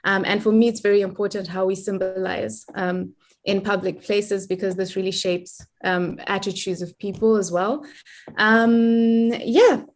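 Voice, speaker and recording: A young woman from Zimbabwe speaking informally as a guest in an online discussion, halting as she thinks aloud. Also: mid-range voice. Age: young adult. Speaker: guest, community organizer.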